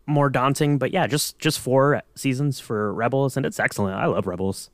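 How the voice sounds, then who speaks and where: A man's voice: mid-range voice, normal speed, no room sound, clean studio sound. A man chatting casually on a fan podcast in an easygoing, somewhat hesitant way. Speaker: podcast panelist.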